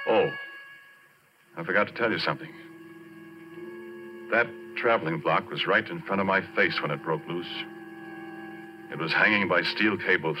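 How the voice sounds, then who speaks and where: Muffled, old radio sound with music carries a haunted roughneck with a very deep voice. He tells his horror tale in plain, halting, working-man speech, as in a 1940s radio play. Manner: folksy, halting, colloquial storytelling. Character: haunted plain-spoken oil rig roughneck recounting horror. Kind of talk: 1940s radio drama acting, first-person narration. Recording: muffled vintage 1940s radio recording, organ-era music.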